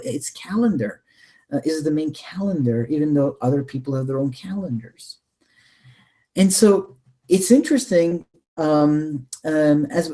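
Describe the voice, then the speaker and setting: Mellow-voiced man explaining with emphasis. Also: medium pitch. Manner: emphatic, rhetorical questions, repeats phrases for weight. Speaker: interview guest, researcher. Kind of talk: extended explanatory talk on Bible prophecy.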